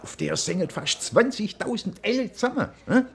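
An actor playing an enthusiastic, tender police inspector, eager and insistent, in a naturalistic radio drama. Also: male voice, high-pitched, expressive, moderate pace, vocal fry, husky, full character voice. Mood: eager, persuasive. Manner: eager, insistent, repeats himself.

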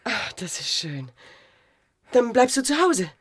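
Anxious, husky, doting mother. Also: a little high, very animated, slow, no room sound, exaggerated voice. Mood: anxious, tender. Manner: fussing, tender, hesitant. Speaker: actress in a radio play. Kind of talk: German radio drama acting.

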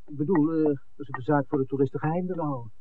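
A man's voice: fairly high pitch, quick pace, natural voice. An actor playing a questioning, discreet film man in a 1960s radio play, with an understated way of talking. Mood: sceptical. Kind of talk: 1960s radio drama acting. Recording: muffled old radio broadcast, faint hiss.

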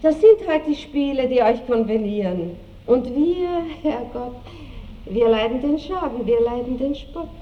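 A worldly, self-assured noblewoman in a vintage radio play. Her voice sits fairly high, refined and full of irony. The old recording is dull and faintly noisy. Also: lively intonation, a little slow, distant mic. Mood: indignant. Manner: ironic, refined, teasing. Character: worldly aristocratic lady, teasing, elegant, self-assured. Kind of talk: classic radio drama acting. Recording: muffled, noisy 1960s radio drama recording.

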